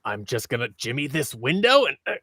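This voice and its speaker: A man in his thirties talks as a guest on a casual show. His voice sits at a middle pitch, and he slips into little acted-out lines as he explains. It is recorded close and dry. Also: a little fast, no echo. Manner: animated, acts out imagined dialogue. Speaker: guest, audio drama creator. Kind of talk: casual interview talk. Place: small office, indoors, on a video call. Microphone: close boom-arm microphone, headphones.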